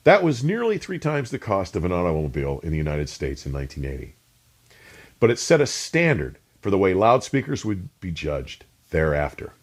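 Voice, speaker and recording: A man reading scripted, documentary-like narration as a host, measured and emphatic, with precisely pronounced technical terms. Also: low voice, wildly swooping pitch. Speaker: host and narrator of an audio review channel.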